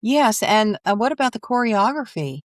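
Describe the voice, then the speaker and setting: A woman's voice: fairly high pitch, sing-song, moderate pace, no room sound, studio quality. A mature woman hosting a conversational interview, reacting with open interest and encouragement. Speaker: interview host.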